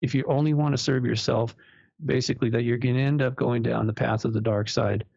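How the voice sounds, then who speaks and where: A gravelly, husky man in his sixties, originally from Los Angeles, talks as a guest on a radio show. He stumbles over his words and restarts, with laughs often slipping in. The line sounds dull and dry. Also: mid-range voice, fairly even intonation, brisk, a little mellow, dry recording. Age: 60s. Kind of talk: casual radio interview.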